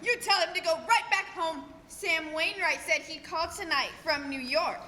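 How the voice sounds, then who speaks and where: A woman's voice: extremely high pitch, moderate pace, pushed and bright, long reverb tail, slight background noise. A young woman playing a nosy, bossy small-town mother in a stage radio play, calling out from a distance. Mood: suspicious, bossy. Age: teenager or young adult.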